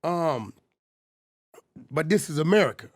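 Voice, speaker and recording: A man in his forties telling street stories in casual, animated talk on a podcast. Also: fairly high pitch, unhurried. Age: 40s. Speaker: podcast guest. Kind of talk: casual storytelling.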